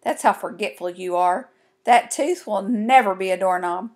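A woman's voice: medium pitch, moderate pace, darkish tone, natural voice. A woman voicing an ornery, teasing young country sister in a children's storybook, snippy and taunting. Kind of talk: folksy children's storybook reading.

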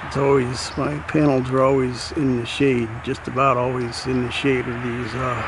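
A man slowly talking through his solar panel setup while filming in the woods, halting with fillers and a dry humor. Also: mid-range voice. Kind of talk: casual walkthrough narration. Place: outdoors in wooded lot. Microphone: handheld camera mic. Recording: noisy outdoor camcorder audio.

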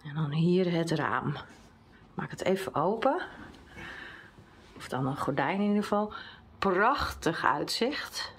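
A woman's voice: lowish voice, sing-song, slow, long pauses, slight background noise. A middle-aged woman giving a plain show-and-tell tour of a small hotel room. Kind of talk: casual travel vlog.

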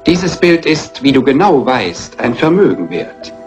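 A man's voice: mid-range voice, sing-song. Menacing, sardonic male heir over music. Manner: deliberate, sardonic. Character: menacing, greedy male heir accusing a relative. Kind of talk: German crime film dub acting. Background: clear music.

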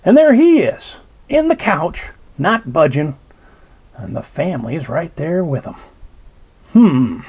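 A man reading a children's picture book aloud, slow and expressive, pausing to point out details in the pictures. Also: fairly high pitch, husky. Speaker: storybook reader. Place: indoors at a table.

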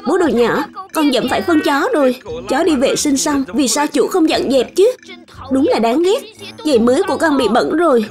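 Eager high-pitched little cartoon boy. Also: animated, normal speed, darkish tone, soft background music. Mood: upset. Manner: childlike, exclamatory. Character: eager big-headed little boy, curious and earnest. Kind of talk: dubbed children's cartoon.

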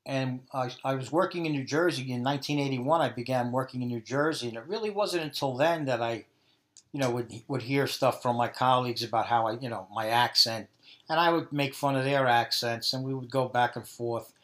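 A man's voice: medium pitch, a little fast, a bit bright. A man in his fifties with a Brooklyn New York accent, giving answers in an interview. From Brooklyn, New York, USA. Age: 50s. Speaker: interviewee, dialect research subject.

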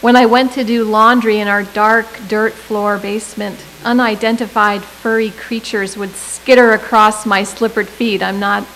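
A middle-aged woman delivering a prepared commencement speech at a podium microphone, with measured delivery and deliberate emphasis. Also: mid-range voice, level tone, fairly bright tone, a little hiss or hum, poor audio. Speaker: commencement speaker.

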